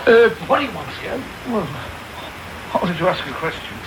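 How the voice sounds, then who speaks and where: Pompous, swooping parish beadle. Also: male voice, high-pitched, sing-song, normal speed. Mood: sly, hedging. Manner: self-important, hedging, ingratiating. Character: pompous, self-serving, obsequious male parish beadle. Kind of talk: radio drama acting. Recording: noisy off-air medium wave radio tape.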